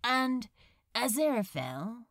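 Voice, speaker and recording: A woman's voice: a little high, big pitch swings, slow, fairly bright tone, no room sound, clean studio sound, exaggerated voice. A woman voicing a sly, bitter male demon with a drawling, sardonic manner in a story reading. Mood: sly, fond.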